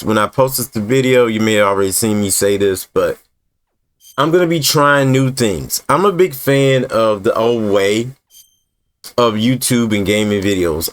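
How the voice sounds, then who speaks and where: A man hosting a gaming video with casual, conversational walkthrough commentary, repeating points for emphasis. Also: on the low side. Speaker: gaming YouTuber, host.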